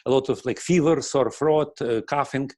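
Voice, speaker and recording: Middle-aged Russian-accented man, interview guest, mid-pitched, dry close sound, halting delivery with frequent throat clearing. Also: a little mellow, dry recording. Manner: halting, many fillers and restarts, clears throat often. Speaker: guest, breathing teacher. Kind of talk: casual interview answer.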